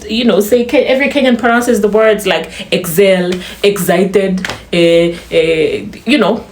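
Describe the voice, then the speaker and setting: A young woman from Uganda with a softly polished Ugandan accent, chatting casually to camera as a vlogger, laughing often and throwing in animated asides. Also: mid-range voice, roomy sound. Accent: Ugandan, slightly polished.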